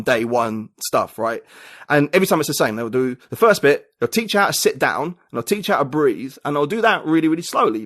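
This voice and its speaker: Man, podcast guest, rambling, thoughtful, thinks aloud, restarts a lot. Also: fairly high pitch. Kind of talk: casual conversation.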